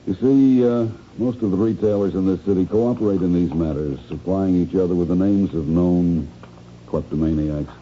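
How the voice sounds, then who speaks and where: An actor playing a calm, methodical store manager who probes politely and explains with quiet condescension, in 1970s radio drama style. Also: male voice, deep voice, lightly acted. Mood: probing, condescending. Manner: measured, politely probing, explanatory. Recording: dull lo-fi radio recording, faint noise.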